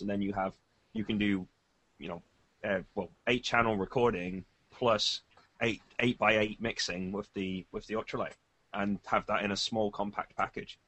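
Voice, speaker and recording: A man's voice: lowish voice, fairly bright tone. A man co-hosting a podcast in casual, conversational tech talk. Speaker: podcast co-host.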